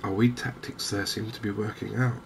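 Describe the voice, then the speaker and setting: A man gives gentle, softly spoken ASMR commentary on a game, chatty and unhurried with hesitant pauses. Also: lowish voice. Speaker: gaming video host. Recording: clear steady background noise and ambience.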